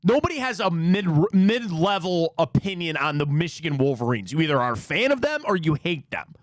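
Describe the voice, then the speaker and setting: Male sports talk host with a Cleveland Midwest accent: raised voice, very lively pitch, opinionated, rambling. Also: brisk, no echo. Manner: animated, opinionated rambling with restarts. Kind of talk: casual sports talk chat.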